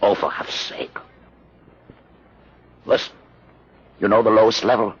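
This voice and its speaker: An actor playing a learned, commanding older rebel aboard a starship in a radio drama, speaking in a deliberate, theatrical, condescending way. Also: male voice, lowish voice, steady tone, breathy edge. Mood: insistent, commanding. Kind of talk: old-time radio drama acting. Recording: muffled, noisy old radio recording.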